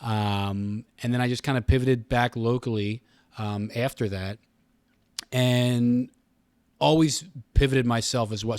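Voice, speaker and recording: An American man in his thirties talks as an interview guest, explaining at length in casual, conversational speech. His voice sits at a middling pitch, and the sound is dry, with very little room in it. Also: no room sound. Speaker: radio station communications director, interview guest.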